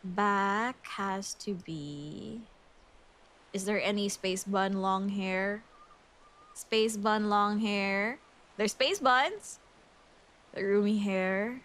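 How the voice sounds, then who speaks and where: A woman's voice: mid-range voice, extremely slow, pauses often, a bit bright. A young adult woman from the Philippines with a Filipino English accent, chatting casually and giggly while thinking aloud on a game livestream. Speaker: streamer.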